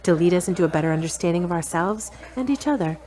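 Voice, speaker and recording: An actress playing a self-assured, frank, teasing girlfriend in a radio drama, articulate and measured. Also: fairly low pitch, expressive, mellow, natural voice. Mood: frank, serious. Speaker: actor in an audio drama. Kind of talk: scripted radio-play dialogue.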